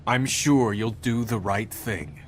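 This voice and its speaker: A man's voice: lowish voice, takes it slowly, slight background noise. An actor playing a meek, troubled man in a video game, asking for help in a hesitant, pleading way.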